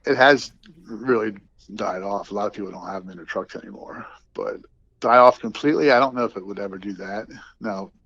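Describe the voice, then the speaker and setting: An older man with a lowish voice talks casually about his working life as a truck driver. He is plain-spoken and unhurried. The recording is dull and a bit muffled. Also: fairly bright tone. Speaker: veteran truck driver, podcast guest. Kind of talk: casual chat, reminiscing. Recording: dull, muffled low-fidelity audio.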